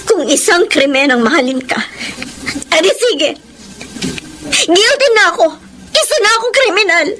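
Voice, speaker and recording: Wounded mistress, radio drama, very high bright voice, emotional, pleading, faint noise behind. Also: female voice, animated, moderate pace, long pauses, full character voice. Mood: hurt, bitter. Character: impassioned mistress defending her love for a married man. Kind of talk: Tagalog radio drama acting.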